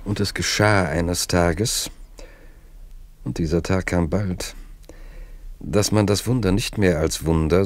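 An actor playing a wry, solemn chronicler in a 1960s radio play, measured and literary. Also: male voice, low voice, a little mellow, no echo. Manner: measured, literary, dryly ironic. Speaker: narrator.

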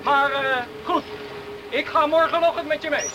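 A curious young lad played by an actor in a radio drama, his voice very high. He is down-to-earth, questioning and bantering, heard against loud outdoor sound. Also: fairly even intonation, moderate pace, noisy background, lightly acted. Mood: sceptical, intrigued. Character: Sil, curious down-to-earth teenage boy, loyal friend. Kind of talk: Dutch radio play acting.

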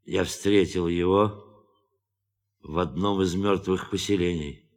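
An actor in an audio drama playing a brooding, idealistic astronaut who has turned killer, speaking in a measured, deliberate way. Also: male voice, deep voice, steady tone, in a large hall. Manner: measured, deliberate, long pauses. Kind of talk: Russian audio drama acting.

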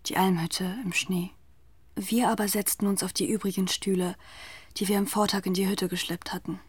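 An actress playing a grave, haunted narrator in a literary radio drama, telling the story in a measured, deliberate way. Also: female voice, mid-range voice, little pitch movement, breathy edge, dry recording, lightly acted. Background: music.

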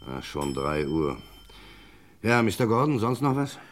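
A man playing a brusque, guarded businessman in a German radio crime play, speaking briskly. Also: fairly low pitch, big pitch swings. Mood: brusque, busy.

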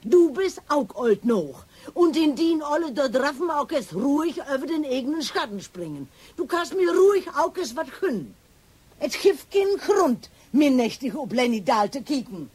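An actress playing a headstrong female police inspector in a radio drama, with a husky voice and a defiant, emotional manner. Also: sits high, big pitch swings, normal speed, darkish tone. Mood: defiant, irritated. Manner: defiant, emotional, natural dialogue.

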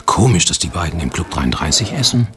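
A man's voice: low voice, very animated, fairly quick, background noise. A man playing an enthusiastic retro-technology expert in a radio play, explaining things knowingly. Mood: matter-of-fact.

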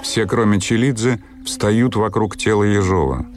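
A man's voice: fairly low pitch, fairly even intonation, unhurried. A man reading scripted narration for a radio drama. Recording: loud music under the narration.

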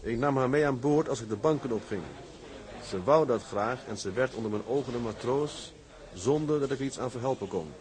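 An actor playing an ambitious, proud tugboat captain in a period drama, firm and businesslike. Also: male voice, medium pitch, fairly quick, darkish tone, lightly acted. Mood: reading aloud. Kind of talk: acted period drama dialogue.

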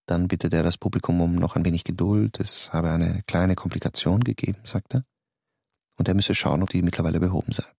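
A grave stage actor recounting events in a very low, dark, gravelly voice. The delivery is measured and hushed, recorded close and dry in a studio. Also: male voice, fairly even intonation, no room sound. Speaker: actor writing a letter. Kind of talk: letter read as a narrated voice-over.

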